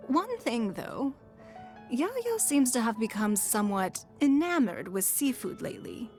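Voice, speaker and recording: A woman voicing a poised, shrewd, wealthy young businesswoman in a fantasy video game, with formal, measured and elegant delivery. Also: mid-range voice. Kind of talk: scripted video game character voice lines. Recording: music behind the voice. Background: music.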